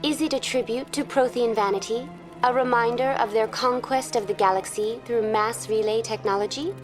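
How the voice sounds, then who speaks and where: Woman doing polite space station info terminal, highish voice, formal measured tour guide delivery, faint music behind. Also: natural voice. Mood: polite, musing. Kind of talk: scripted informational narration.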